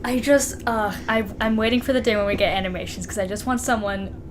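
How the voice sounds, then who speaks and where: A woman's voice: medium pitch, fairly quick. A woman co-hosting a podcast in casual, informal banter, amused and playfully exasperated, on a slightly noisy recording. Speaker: podcast co-host. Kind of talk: casual chat, reacting to co-host.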